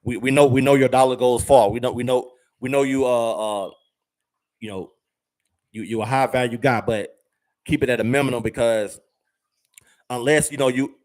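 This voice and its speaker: A man's voice: medium pitch, fairly even intonation, moderate pace, long pauses, darkish tone. A man giving viewers casual travel advice, rambling with fillers and repeated words and using slang. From United States. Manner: uses slang, addresses viewers as fam and y'all. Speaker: travel vlogger.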